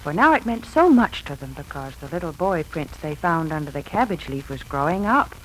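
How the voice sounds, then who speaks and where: Anxious, tender 1940s radio wife. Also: female voice, low voice, big pitch swings, a little fast, fairly bright tone, slight background noise, low-quality recording. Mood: storytelling. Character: anxious, tender young wife and adoptive mother. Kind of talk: 1940s radio drama acting. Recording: old 1940s radio recording with music.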